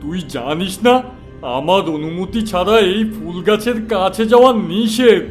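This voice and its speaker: A man's voice: high voice, lively intonation, normal speed, soft background music. A man voicing a stern, wrathful holy man with magic powers in a fairy-tale cartoon.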